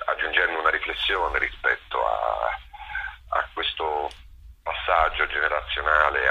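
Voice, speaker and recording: A man with a gravelly, husky voice giving reflective interview answers, often pausing to search for his words. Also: extremely low pitch, bright, forceful tone, dull audio. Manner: halting, searching for words, with many pauses. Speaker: interviewed theatre director and performer.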